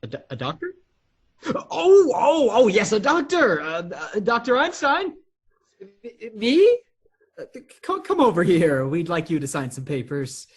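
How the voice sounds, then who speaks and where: A man plays an anxious, placating nephew in a comedy for radio. The delivery stammers and hurries, jumping around in pitch, with a slightly rough, breathy edge. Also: huge pitch swings, measured pace, heavily acted. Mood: nervous, pleading. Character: flustered, anxious nephew desperately managing his mad family. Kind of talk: stage comedy acting for radio theatre.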